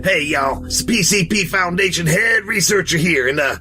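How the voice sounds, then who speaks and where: A man's voice: sits high, lively intonation, moderate pace, bright, forceful tone, soft background music, exaggerated voice. A man playing a rambling, laid-back, folksy researcher stranded in space, correcting himself as he goes in a comic audio monologue. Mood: rambling, wry.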